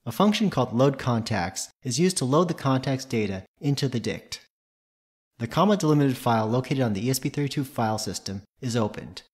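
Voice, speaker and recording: A man's voice: mid-range voice, expressive, a little fast, a little mellow. A man presenting a tutorial, reading scripted technical narration in a methodical, matter-of-fact way.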